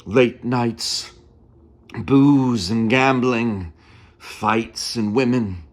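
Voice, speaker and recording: A man playing an earnest young nineteenth-century touring actor, telling his life in a measured, theatrical dramatic monologue. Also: medium pitch. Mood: vivid, rousing. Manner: theatrical, measured, with weighty pauses. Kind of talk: dramatic first-person monologue.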